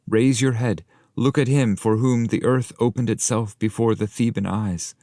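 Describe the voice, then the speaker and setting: Man voicing a stern ancient poet guide, raised pitch. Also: steady tone, normal speed, mellow, dry recording, clean studio sound, close to an everyday voice. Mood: stern, scolding. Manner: reproachful, declamatory. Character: stern ancient poet guide, male, scolding his pupil.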